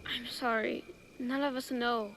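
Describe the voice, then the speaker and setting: An actress voicing a frightened, earnest child recruit in a video game, speaking haltingly and anxiously. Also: female voice, fairly high pitch, fairly even intonation, a bit bright, a little hiss or hum, low-quality recording. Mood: nervous, earnest. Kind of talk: video game cutscene acting.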